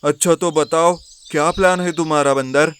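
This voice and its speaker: Guy as a worried elephant in a kids story, slightly high smooth voice, kinda fretful. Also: fairly even intonation, moderate pace, a bit bright, close to an everyday voice. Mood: curious. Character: worried, earnest male elephant. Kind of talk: animated animal fable dialogue.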